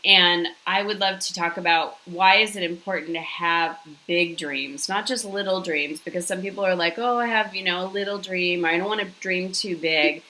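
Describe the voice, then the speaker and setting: Woman host, bright and forceful, affirming, low-ish voice. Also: normal speed, hardly any pauses, a little hiss or hum, low-quality recording. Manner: enthusiastic and affirming. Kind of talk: interview hosting.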